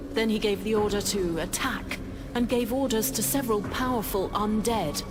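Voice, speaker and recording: A woman plays an earnest, expert sorceress from a fantasy video game. Her voice is mid-pitched and measured as she explains, with loud music underneath. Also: close to an everyday voice. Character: skilled, earnest female sorceress who probes the dead.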